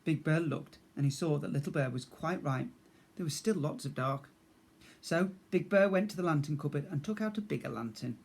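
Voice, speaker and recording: A man narrating a children's storybook like a teacher, in a measured, expressive way. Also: fairly high pitch, creaky.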